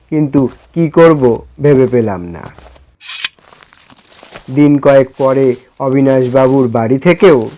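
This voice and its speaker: A man's voice: medium pitch, warm tone. A man narrating a literary short story in the first person, as a scripted reading.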